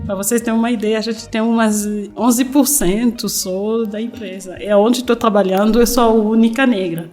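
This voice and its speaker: A woman's voice: on the high side, fairly even intonation, darkish tone, soft background music. A woman from Congo with a French-influenced accent, a podcast guest chatting and searching for words. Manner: halting, searching for words, laughs often. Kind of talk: casual chat.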